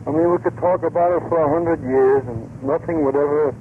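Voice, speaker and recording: A man calling a vintage radio show by telephone, talking casually in a halting, sceptical but persistent way. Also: on the high side, steady tone. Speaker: phone-in caller. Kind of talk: casual opinion on a radio call-in. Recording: tinny phone line on vintage radio, noisy.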